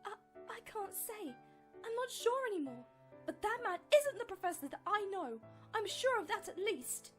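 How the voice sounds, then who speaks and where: A woman voicing a frightened, desperate young lady in an animated fan drama, pleading melodramatically. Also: extremely high pitch, normal speed, faint music behind. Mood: shaken.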